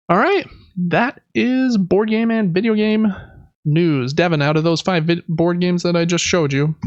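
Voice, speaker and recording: A man's voice: on the high side, no echo, muffled recording. A man hosting a casual board game podcast, chatting and explaining things in a conversational way. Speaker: podcast host.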